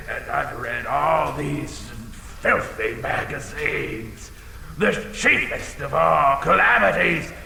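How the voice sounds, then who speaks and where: A man playing a blustering, insecure, half-blind dragon in a comedic audio drama, swinging between theatrical threats and fussy muttering in a gravelly voice. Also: on the high side, bright, forceful tone, full character voice. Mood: aggrieved. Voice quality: gravelly, creaky. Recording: cavernous long reverb with faint noise.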